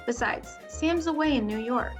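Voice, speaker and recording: A woman plays a loving, meddling middle-aged mother in 1940s radio drama style. Her voice is high, with a coaxing, knowing manner and music underneath. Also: moderate pace, lightly acted.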